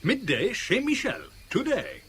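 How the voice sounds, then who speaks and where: A lovesick, wry businessman in an old radio play. His highish voice moves expressively with intense self-pity, over a faintly hissy recording. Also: wildly swooping pitch, measured pace, fairly bright tone, exaggerated voice. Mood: wounded, bitter. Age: 40s. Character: lovesick, self-pitying forty-year-old English businessman. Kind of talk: 1970s British radio drama acting.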